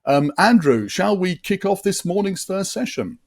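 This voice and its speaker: A man in his sixties presenting a live online session, chatty and upbeat with frequent fillers and restarts, his voice a little deeper than usual after a late night. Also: lively intonation, normal speed, vocal fry.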